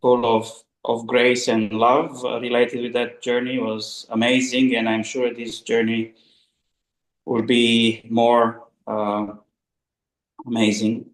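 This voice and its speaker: A man from Romania introducing himself on a video call, halting with many pauses and fillers. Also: medium pitch, level tone, roomy sound, poor audio. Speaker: call participant.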